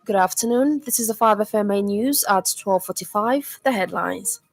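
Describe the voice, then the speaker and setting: A woman with a Zambian accent reading a scripted radio news bulletin in a formal, measured way. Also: mid-range voice. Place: radio studio.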